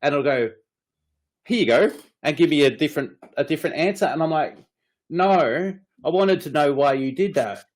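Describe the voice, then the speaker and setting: A man's voice: on the high side, lively intonation, normal speed. A middle-aged man co-hosting a casual podcast, ranting with frequent swearing and laughter as he vents about frustrations. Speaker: podcast co-host. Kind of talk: casual chat.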